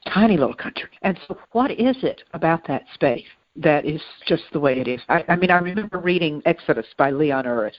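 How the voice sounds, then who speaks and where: Earnest woman, halting and deliberate, dull recording. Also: deep voice, no room sound. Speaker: podcast co-host. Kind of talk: reflective conversational discussion.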